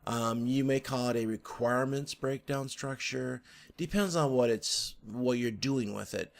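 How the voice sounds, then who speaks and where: A man's voice: mid-range voice, measured pace, gravelly. A man giving an informal slide tutorial as an instructor, explaining step by step with some hesitant, repeated phrasing. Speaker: course instructor.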